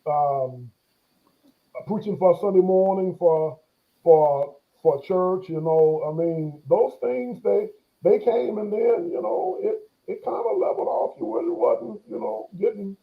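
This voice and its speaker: A man's voice: high voice, lively intonation, a little slow. A pastor in his forties, a guest in an interview, talking in a reflective, hesitant way with many fillers and restarts, and an occasional stammer. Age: 40s. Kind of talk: conversational interview. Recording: tinny phone-line sound.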